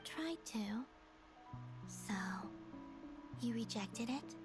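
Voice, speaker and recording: Young game moon goddess, fairly high voice, soft, reserved, measured, music behind. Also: female voice. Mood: questioning. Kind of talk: dramatic video game dialogue.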